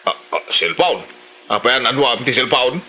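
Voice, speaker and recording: A man's voice: medium pitch, expressive, moderate pace, bright, forceful tone, slight background noise, poor audio. A man acting a rural father in an Ilocano comedy drama, with an Ilocano accent. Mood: chiding, questioning.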